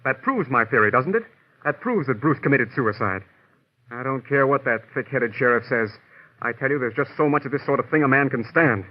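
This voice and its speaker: Actor playing an edgy doctor, medium-pitched voice. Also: male voice, expressive, brisk, natural voice. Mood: indignant, insistent. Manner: insistent, blustering. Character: fed-up, self-important male doctor, edgy and defensive. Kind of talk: old-time radio mystery acting. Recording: dull lo-fi vintage radio recording.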